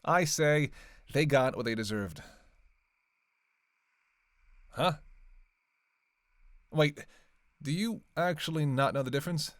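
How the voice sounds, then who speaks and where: A man's voice: mid-range voice, no room sound. A man playing a flirty, sardonic vampire in a roleplay audio drama, with casual, teasing, profanity-laced banter. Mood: indignant, then wry, explaining. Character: flirty, sardonic male vampire on a road trip.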